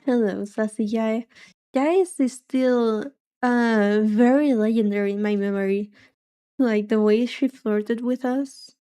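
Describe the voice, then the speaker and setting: A soft-voiced woman livestreamer chatting casually in a relaxed, wry way as she reacts and muses aloud. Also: a little high, slow pace, clear, resonant tone, faint music behind. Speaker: livestreamer, vtuber.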